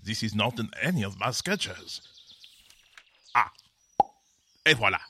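A man playing a bewildered, grumbling French painter with a French accent and halting, exclamatory speech, in an old-time radio comedy. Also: fairly low pitch, very animated, background noise. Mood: astonished.

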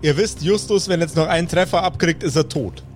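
A man with a fairly high voice runs a tabletop role-playing game as game master. He narrates in a measured, deliberate way, leaving pauses. Faint music plays behind him.

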